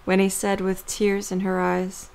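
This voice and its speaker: A woman reading scripted story narration for an audio drama in a measured, creaky voice. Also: mid-range voice, monotone, darkish tone.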